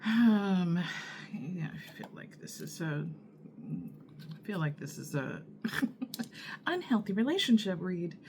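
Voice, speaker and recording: Deep-voiced, slow-talking woman tarot reader. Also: very animated, a little hiss or hum. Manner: unhurried, musing, many fillers and pauses. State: husky morning voice from allergies. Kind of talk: casual tarot reading to camera.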